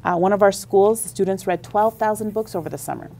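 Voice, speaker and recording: A woman's voice: medium pitch, fast, vocal fry, mellow, slight background noise. A woman on a radio interview, explaining at length with enthusiasm about library services. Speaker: school district library leader.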